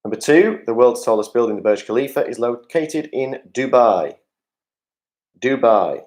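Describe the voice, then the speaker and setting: A man's voice: medium pitch, lively intonation, normal speed, darkish tone. A man hosting an online pub quiz, reading out answers with chatty jokes and asides to the players over a video call. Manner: jokey, chatty asides to players, reads questions aloud. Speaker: quiz host.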